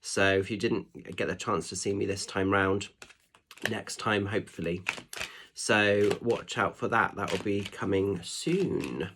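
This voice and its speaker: A man, a spiritual medium, giving a casual oracle card reading in a nasal, stuffed-up voice, chatty and gently reassuring. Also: on the low side, fairly even intonation, a little slow, background noise. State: congested with hay fever or a cold. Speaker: spiritual medium and tarot reader.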